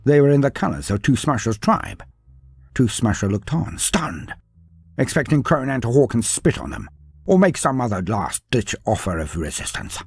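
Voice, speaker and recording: A man narrating a scripted fantasy tale in an animated way, dropping in wry asides. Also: medium pitch, normal speed, breathy edge, no room sound. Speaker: storyteller.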